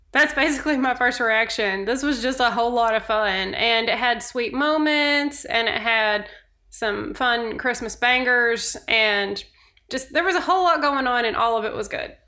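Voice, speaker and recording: A woman co-hosting a podcast in relaxed, everyday conversation. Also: a little high, a little slow. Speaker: podcast co-host. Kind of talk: casual chat.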